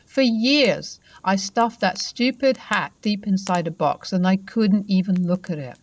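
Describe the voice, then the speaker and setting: A woman narrating a first-person ghost story in a conversational, expressive way, with dramatic emphasis and pauses. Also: medium pitch.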